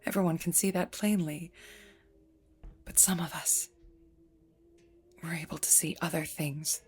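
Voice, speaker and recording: An actress playing a grave, knowing guesthouse landlady in an audio drama, speaking in a hushed, earnest, ominous manner. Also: sits low, steady tone, unhurried, breathy edge, heavily acted.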